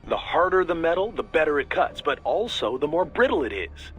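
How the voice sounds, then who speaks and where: Lively, chatty tech-support guy. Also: a little high, brisk, faint music behind. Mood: keen, explanatory. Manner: casual, explanatory, enthusiastic. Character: chatty, easygoing male tech-savvy mission support advisor. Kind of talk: video game radio briefing voice acting.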